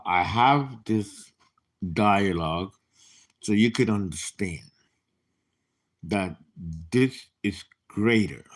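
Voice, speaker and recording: A man in his late fifties giving a casual tarot reading, chatty and animated, with laughing asides and dropped whispers. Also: lowish voice. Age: 50s. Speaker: tarot reader.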